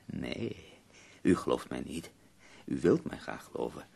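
An actor in a radio play voicing an ailing, embittered nineteenth-century poet with formal, ironic, deliberate speech. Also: male voice, extremely low pitch, sing-song, dark tone, heavily acted. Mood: earnest, defensive. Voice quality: gravelly, husky. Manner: formal, deliberate, articulate, wry. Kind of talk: Dutch radio play acting.

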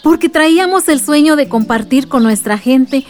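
A woman playing a kindly aunt with a Mexican accent, gently telling memories in a radio drama. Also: high voice, lively intonation, moderate pace. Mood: fond, nostalgic. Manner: gentle, reminiscing. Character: kindly aunt telling her village's past to a child.

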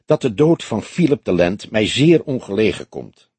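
Male reader playing a rich suspect in his forties, polite, unruffled, animated pitch, husky, crime audiobook. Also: moderate pace, no room sound. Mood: self-assured, defensive. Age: 40s. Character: stout wealthy crime suspect in late forties, smooth, self-assured.

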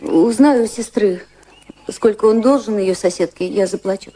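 An actress playing an anguished, proud high-society lady in a radio drama, with a dramatic, troubled manner. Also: moderate pace, warm tone, lightly acted. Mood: restrained.

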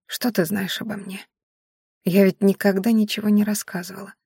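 A woman voicing a dying young woman in an audiobook, hoarse and faint, weary yet resolute. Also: mid-range voice, measured pace, no room sound, studio quality. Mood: faint confession. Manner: weak, quietly commanding.

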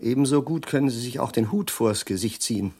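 An actor playing a bitter, sardonic middle-aged professor prophesying doom in a German radio drama, with a husky, declamatory delivery. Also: male voice, mid-range voice, a little fast. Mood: mocking. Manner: declamatory, sardonic, lingering on words with sudden hushes.

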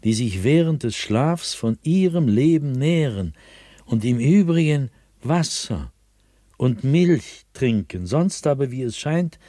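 An actor playing a haunted, fearful diarist sliding into madness in a dramatic, intense radio drama monologue, at times breathy and whispering. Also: male voice, medium pitch, expressive, slow pace, mellow, dry recording, studio quality. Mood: grave, urgent.

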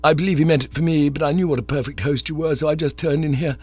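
A man voicing an excitable, scatterbrained young gentleman who chatters eagerly and breathlessly. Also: a little high, fast, breathy edge, no room sound, pitched above the performer's own voice. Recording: dull lo-fi recording with mains hum.

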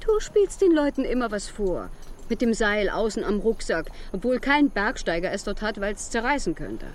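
A stern, dutiful woman played by an actress speaks at medium pitch with lively intonation. She admonishes and reproaches in radio drama style, with faint ambience behind her. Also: a little hiss or hum, close to an everyday voice. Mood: reproachful. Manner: reproachful, brisk-minded, admonishing. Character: stern, dutiful, critical female companion. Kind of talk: German radio drama acting.

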